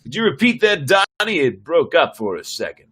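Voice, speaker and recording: A man's voice: fairly high pitch, big pitch swings, moderate pace, bright, forceful tone, breathy edge, full character voice. A man playing a stern, sardonic starship captain with a dry, commanding manner in a sci-fi story reading. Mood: businesslike.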